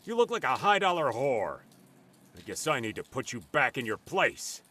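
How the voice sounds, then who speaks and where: A man's voice: medium pitch, sing-song, moderate pace, bright, forceful tone. An actor playing a crude, domineering, abusive man with a coarse, aggressive manner in a radio drama. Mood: contemptuous.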